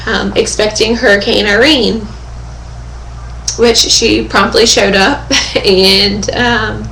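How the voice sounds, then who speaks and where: A young woman speaks slowly and casually into her webcam at home, her voice hoarse and fading. She fills her talk with pauses and keeps clearing her throat. The room echoes around her, with a faint hum of ambience behind. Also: medium pitch, steady tone, a bit bright. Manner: chatty, many fillers and throat clearing. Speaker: vlogger. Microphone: webcam microphone.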